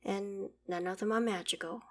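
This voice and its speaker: A woman playing an ancient female dragon in an ASMR roleplay, proud and curious, speaking in a measured, formal, archaic way. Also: mid-range voice, fairly even intonation, lightly acted. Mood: thoughtful, courteous. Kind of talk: ASMR roleplay audio drama, addressing the listener.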